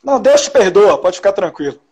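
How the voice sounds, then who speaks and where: A man's voice: high voice, very animated, brisk, darkish tone. A man from Salvador with a Bahian accent, a journalist telling stories in an interview, with many restarts and tag questions. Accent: Bahian Portuguese. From Salvador, Bahia, Brazil. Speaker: journalist guest. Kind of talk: casual interview conversation.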